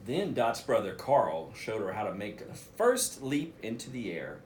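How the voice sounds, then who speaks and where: A man, a school principal, reading a picture book aloud for children in an expressive way, with casual asides. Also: on the low side, unhurried, a little hiss or hum. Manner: expressive, addresses children directly.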